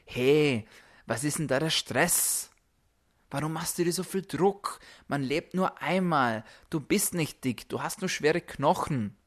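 A man's voice: a little high, takes it slowly. A man voicing a coaxing inner tempter that makes lazy excuses, whispery at times, with music underneath. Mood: breezy, soothing.

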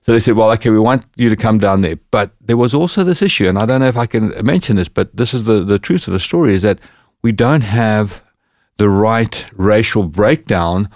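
Podcast guest, Zimbabwean man, low-ish voice, animated storyteller, dry room. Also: a little fast, no room sound. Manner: animated anecdotes, lively quoted dialogue. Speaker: podcast guest, former bodyguard. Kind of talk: casual storytelling.

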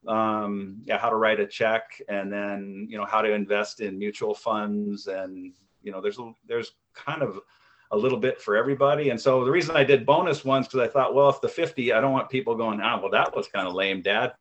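Man, 50s, interview guest. Lowish voice, loose, rambling, self-deprecating, lots of ums and restarts. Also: steady tone, moderate pace, a bit bright. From United States. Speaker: guest, author and video creator. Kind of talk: conversational interview answers.

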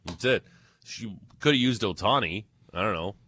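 A man's voice: on the low side, animated, normal speed, sharp tone, slightly rough, dry recording. A man hosting sports talk radio, in laid-back, joking banter. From grew up bilingual, English and Serbian.

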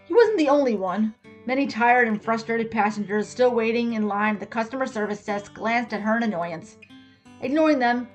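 A woman giving an expressive, scripted reading of a story as its narrator. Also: fairly high pitch. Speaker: story reader. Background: music with guitar.

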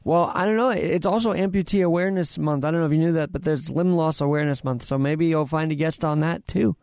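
A man's voice: a little high, fast talker, darkish tone, no room sound, clean studio sound. A man hosting a radio show, interviewing a guest in a conversational way.

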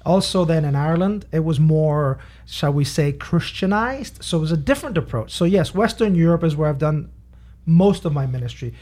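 Lively pastor raised in Spain. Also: male voice, fairly high pitch, normal speed. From Canary Islands, Spain. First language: Spanish. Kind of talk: casual podcast chat.

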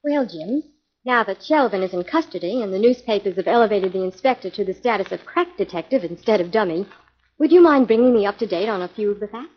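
An actress with an American accent playing a brisk, inquisitive detective's assistant in a 1940s radio drama. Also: female voice, mid-range voice, animated, lightly acted. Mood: curious, brisk. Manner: brisk, prompting. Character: brisk, inquisitive female assistant to a crime-solver. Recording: dull 1940s radio recording.

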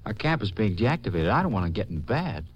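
An actor playing a nervous, evasive army soldier in a 1950s radio drama, stammering, hesitating and pleading. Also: male voice, fairly low pitch, very animated, quick pace, a little hiss or hum. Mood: anxious, pleading. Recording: old-time 1950s radio recording.

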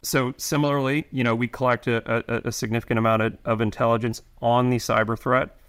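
A middle-aged man, an intelligence officer answering interview questions, speaking haltingly with many restarts and fillers. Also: medium pitch, level tone, fairly bright tone, clear, resonant tone.

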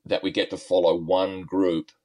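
A man's voice: sits low, little pitch movement, moderate pace, mellow. An Australian man, middle-aged, a golf coach talking easily as a podcast guest, with many ums and uhs. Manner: easygoing, lots of ums and uhs, chuckles often. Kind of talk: podcast interview, relaxed storytelling.

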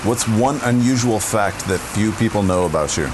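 A man interviewing, asking short, slow quick-fire questions. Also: lowish voice, background noise.

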